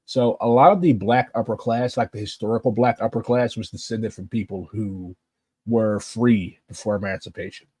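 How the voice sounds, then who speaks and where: A man's voice: fairly low pitch, moderate pace, warm tone, breathy edge. A man with a St. giving blunt, impassioned political commentary. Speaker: political activist.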